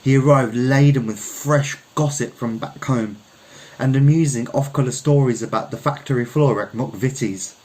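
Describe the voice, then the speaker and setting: Measured man reading literary fiction. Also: mid-range voice, warm tone, poor audio. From Birmingham, England. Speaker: story reader. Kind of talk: literary short story narration.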